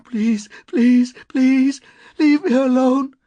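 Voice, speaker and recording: A man's voice: medium pitch, sing-song, moderate pace, husky, no room sound, muffled recording. A man voicing a frail, haunted woman medium in an audiobook, pleading and trembling. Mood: terrified, begging.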